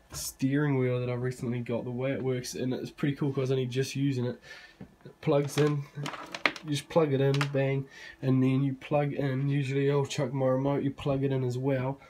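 A young man giving a casual, slightly hoarse tour of his bedroom gaming setup, swearing offhandedly, filmed on a handheld phone. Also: mid-range voice, mellow, slight background noise. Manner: laid-back, swears casually, rambling asides. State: losing his voice, clearing throat. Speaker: gaming channel host. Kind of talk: casual room tour vlog. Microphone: handheld phone camera.

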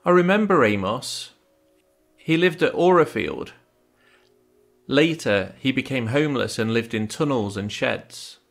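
A man plays a polite, middle-aged church man in an adventure game. He sounds measured and matter-of-fact, with some creak in his voice. Music plays underneath. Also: medium pitch, animated.

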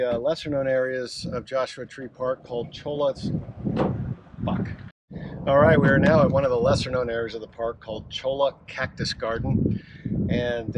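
A middle-aged American man hosting a travel vlog outdoors, giving casual, halting tour commentary to a camera a few feet away. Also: mid-range voice. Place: outdoors in open desert. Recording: outdoor camera audio with clear background noise.

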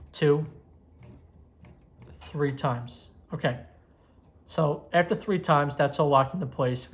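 A man in his twenties giving a step-by-step tutorial at an indoor workbench, recorded on a lapel mic. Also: on the high side. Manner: steady step-by-step instruction. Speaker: magazine editor giving a tutorial. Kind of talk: how-to tutorial.

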